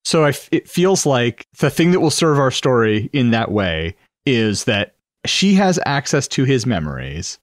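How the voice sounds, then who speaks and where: A man's voice: mid-range voice, very animated, a little slow, dry recording, clean studio sound. A man co-hosting a podcast, talking casually and reflectively as he works through ideas, often tentatively. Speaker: podcast co-host. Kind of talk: casual brainstorming discussion.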